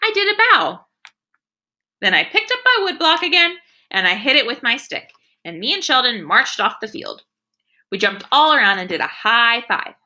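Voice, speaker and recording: Woman as a bright, gleeful young schoolgirl storyteller. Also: on the high side, very animated, normal speed, exaggerated voice. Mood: excited. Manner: childlike phrasing, emphatic enthusiasm. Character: spirited young schoolgirl narrator, quirky and gleeful.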